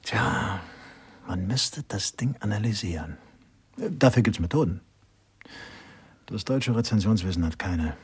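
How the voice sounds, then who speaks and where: An actor playing a drily pompous, bookish assistant detective in a German radio crime comedy, musing in know-it-all asides. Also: male voice, on the low side, lively intonation, measured pace, long pauses, exaggerated voice. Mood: pompous, dry. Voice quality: husky. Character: bookish, opinionated male police sidekick, drily pompous.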